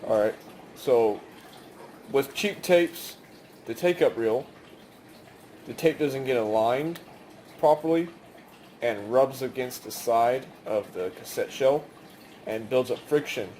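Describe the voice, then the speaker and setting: A man's voice: mid-range voice, slow pace, pauses often, darkish tone. Rambling man over noticeable background noise. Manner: rambling, conversational. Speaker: video maker demonstrating cassette tapes. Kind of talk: casual hands-on demonstration commentary.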